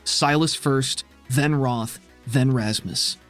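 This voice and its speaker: A man's voice: medium pitch, slow, a bit bright, soft background music. A man narrating a tabletop game as game master in a dramatic storytelling style.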